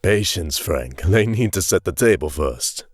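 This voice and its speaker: A man acts a wolfish, well-mannered gentleman boss in an audiobook. The voice is low and expressive, smooth and playful, recorded dry over faint music. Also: measured pace, dry recording. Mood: amused, approving. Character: wolfish, suave, cautious male leader with refined tastes. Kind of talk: audiobook character acting.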